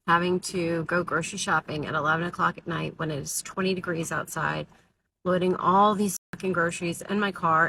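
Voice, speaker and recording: Woman, venting vlog, gravelly and sharp, lowish voice, faint ambient hiss. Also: rough recording. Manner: exasperated, blunt, curses.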